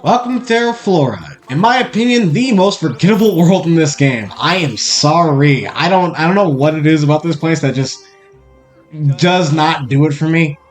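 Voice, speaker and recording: A young man with a Boston accent talks through a game in loose, rambling commentary, often correcting himself. His voice sits fairly high for a man. Soft game music plays underneath. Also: lively intonation, moderate pace. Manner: rambling, self-correcting chatter. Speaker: let's play host. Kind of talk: casual gameplay commentary.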